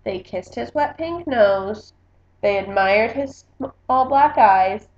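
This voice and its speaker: A woman reading a children's storybook aloud in an expressive narrating style. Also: a little high, takes it slowly. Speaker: book reader. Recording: echoey room, slightly muffled sound.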